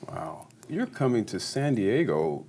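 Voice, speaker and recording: A man hosting an interview who asks questions haltingly, often restarting words and laughing. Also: medium pitch, very animated, warm tone.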